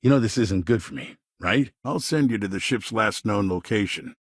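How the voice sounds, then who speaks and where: A middle-aged colonial governor from a video game, smooth-talking and self-important. His voice is fairly low and husky, with a dry, close sound. He phrases everything like a practised politician who is always scheming. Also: moderate pace, no room sound. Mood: smooth, politicking. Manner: polished politician's talk, veiled threats. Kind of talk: game dialogue voice lines.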